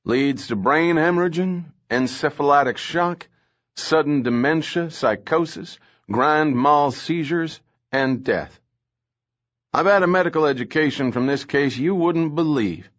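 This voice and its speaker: A man's voice: a little high, unhurried, fairly bright tone, voice pushed up. A man reading an audiobook, voicing an irritable, sleepy male police lieutenant with a slurred, grumbling manner. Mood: grimly talkative. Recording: slightly dull lo-fi audio.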